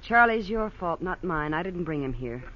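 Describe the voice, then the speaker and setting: A woman's voice: fairly low pitch, animated, normal speed, natural voice. A woman playing a scheming, wounded nightclub femme fatale in old radio crime drama, melodramatic, bitter and pleading. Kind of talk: 1940s-50s radio crime drama acting. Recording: dull, noisy old radio broadcast.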